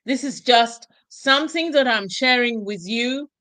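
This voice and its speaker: A woman from Ghana hosting an online discussion show, speaking in a slow, emphatic, exhorting way. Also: fairly high pitch, a bit bright. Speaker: talk show host.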